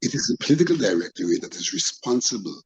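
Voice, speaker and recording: A Guyanese man speaks as a guest on a political panel. His phrasing is formal and deliberate, set out step by step in a mid-pitched voice. The audio is a little dull and lo-fi. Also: big pitch swings, slight background noise.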